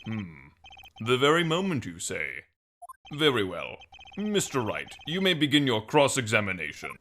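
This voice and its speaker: A man voicing an authoritative, solemn courtroom judge in a game fandub, formal and deliberate. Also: medium pitch, very animated, a bit bright, soft background music. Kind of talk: game fandub character acting.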